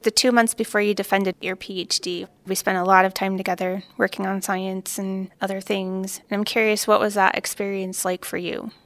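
Woman in her thirties with a gravelly edge. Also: medium pitch, flat delivery, normal speed, few pauses, a bit bright, dry recording. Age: 30s. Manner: curious, asks questions. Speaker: PhD co-advisor, interviewer. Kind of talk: casual conversation.

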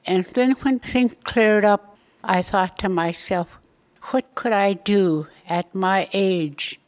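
Elderly woman in her eighties, from Alaska, slow halting delivery, mid pitch. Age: 80s. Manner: slow, halting storytelling with pauses. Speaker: elder interviewee. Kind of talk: personal life story.

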